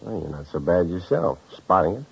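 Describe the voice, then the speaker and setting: A man's voice: low-pitched, sing-song, fairly quick, creaky, breathy edge, muffled recording, exaggerated voice. An actor playing a surly, laconic tough guy in a 1950s radio crime drama. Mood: surly, cool. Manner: laconic, unhelpful. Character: surly, terse out-of-town male tough tailing someone. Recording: noisy old 1950s radio recording.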